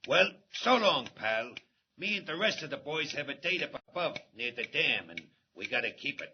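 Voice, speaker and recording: A man's voice: medium pitch, very animated, moderate pace. An actor playing a slangy, menacing male gangster henchman in a 1940s radio drama. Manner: slangy tough-guy drawl. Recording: muffled old radio recording with hiss.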